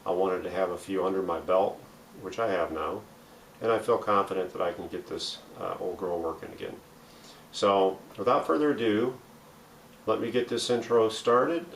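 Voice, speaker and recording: A man's voice: lowish voice, creaky, a little hiss or hum, rough recording. A man hosting a casual, unscripted workshop show-and-tell in a folksy, self-deprecating way, recorded indoors at home on a camera mic. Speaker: host of a vintage radio restoration channel.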